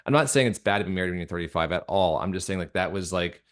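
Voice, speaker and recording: A man with a fairly low voice talks as a guest in a casual interview. He is earnest and reflective, feeling his way through sentences with halting restarts and a conversational looseness. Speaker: guest, audio drama creator and director.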